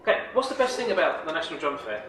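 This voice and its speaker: A man interviewing a guest at a drum fair, quick and upbeat, with short acknowledgements between the questions. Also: a little high, very animated, recorded from a distance. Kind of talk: event interview. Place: busy drum fair hall. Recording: echoey hall, crowd noise.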